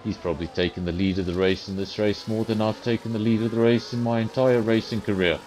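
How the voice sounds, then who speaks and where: Male sim racing commentator from South Africa, lowish voice, chatty and self-deprecating, faint noise under the mic. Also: fairly even intonation, fast, darkish tone. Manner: chatty, self-deprecating, addresses viewers directly. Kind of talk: live esports race commentary.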